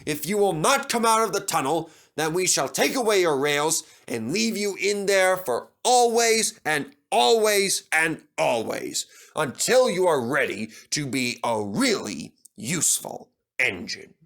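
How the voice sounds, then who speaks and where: Storybook casting: man playing a portly, stern railway boss, raised pitch, weighty commands. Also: animated, unhurried, vocal fry, hardly any pauses, fairly bright tone, exaggerated voice. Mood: severe. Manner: commanding, severe. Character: stout, pompous, stern male railway director.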